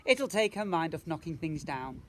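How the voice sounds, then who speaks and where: A man voicing a calm, sure old grandmother giving matter-of-fact advice in a children's storybook. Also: on the high side, normal speed, slight background noise. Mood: reassuring.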